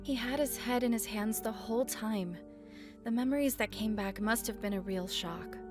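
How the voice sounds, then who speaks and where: Woman as a concerned young game heroine, gentle, fairly high voice, clear music behind. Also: normal speed, lightly acted. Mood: worried. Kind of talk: fan-dub game voice acting.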